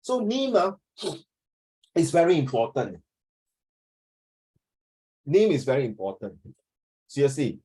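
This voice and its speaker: A man's voice: on the high side, wildly swooping pitch, slow pace, long pauses, mellow, low-quality recording. A middle-aged man with a Singaporean English accent teaching a class, joking, wandering off topic and mixing in Chinese. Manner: joking, digressive, switching to Chinese. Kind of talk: classroom lesson.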